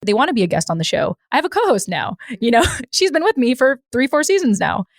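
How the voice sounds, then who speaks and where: A woman's voice: on the high side, very animated, fast, no echo, studio quality. A woman hosting a podcast in a casual, conversational and emphatic way. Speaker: podcast host.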